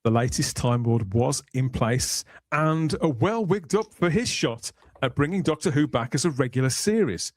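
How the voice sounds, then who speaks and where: A man's voice: mid-range voice, lively intonation, normal speed, no room sound. A man hosting a podcast, moving between conversational talk and polished scripted narration with wordy, theatrical phrasing. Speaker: podcast host.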